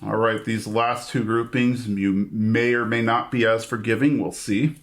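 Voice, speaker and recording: A man's voice: fairly low pitch, fairly even intonation. Man reading out trivia questions. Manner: measured, announcing questions. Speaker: quizmaster.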